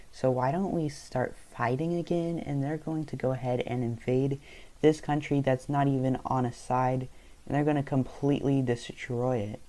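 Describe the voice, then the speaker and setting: A man's voice: mid-range voice, a little mellow. A young man, not a native English speaker, casually narrating a map video in a rambling way, often stopping to correct himself. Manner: rambling, self-correcting, loose storytelling.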